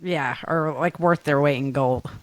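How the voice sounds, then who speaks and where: A woman's voice: low voice, unhurried, dry recording. Chatty, joking woman, close dry sound. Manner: animated, self-deprecating, joking. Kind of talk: casual chat.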